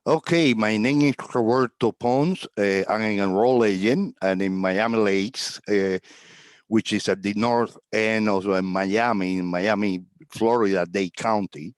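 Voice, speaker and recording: A middle-aged man from Cuba, a tax agent talking as a guest on a video call in a relaxed, rambling way with fillers and chuckles. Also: on the low side, measured pace, no room sound, studio quality. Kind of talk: casual video-call interview.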